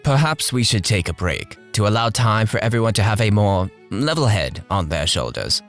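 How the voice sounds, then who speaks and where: Guy voicing a calm formal lord in a fandub, lowish voice, diplomatic, soft music behind. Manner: formal, measured. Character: composed, diplomatic lord and statesman. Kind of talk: fan dub character acting.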